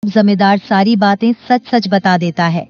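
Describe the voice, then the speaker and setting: A woman's voice: medium pitch, moderate pace, a little mellow. A woman reading scripted storytelling narration as the narrator. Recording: dull lo-fi audio. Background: music.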